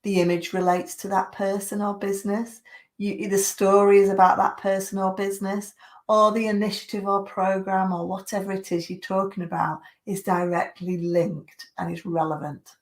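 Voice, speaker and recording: A middle-aged woman with a croaky voice from a chest infection, giving social media tips in a chatty live stream. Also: medium pitch, flat delivery, normal speed. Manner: chatty, greets viewers by name.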